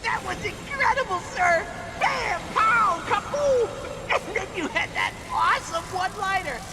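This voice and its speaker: A man's voice: very high voice, animated, unhurried, a crowd in the background, noisy background. An actor playing an eager, fawning male robot assistant in a game cutscene, gushing and deferential toward his master. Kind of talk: video game cutscene acting. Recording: loud game score behind.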